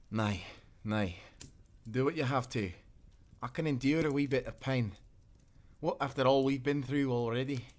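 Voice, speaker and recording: A man playing a wounded, loyal sailor castaway with a Scottish accent in a pirate audio roleplay, earnest and halting. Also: mid-range voice, a little hiss or hum, natural voice. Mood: weary, caring.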